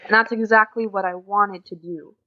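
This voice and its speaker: A young woman with an American accent talks casually as an interview guest. She has a mid-pitched voice and a chatty storytelling manner. The recording is a little dull and lo-fi. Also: moderate pace. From USA. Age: young adult. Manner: chatty, animated storytelling with quoted dialogue and frequent giggles. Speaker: podcast guest, exchange student. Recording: slightly dull, lo-fi audio.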